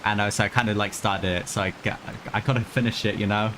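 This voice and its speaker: A man's voice: fairly low pitch, fairly even intonation, quick pace, noisy background. A man doing casual livestream game commentary, hesitant, thinking aloud and repeating himself. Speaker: game streamer. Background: game music.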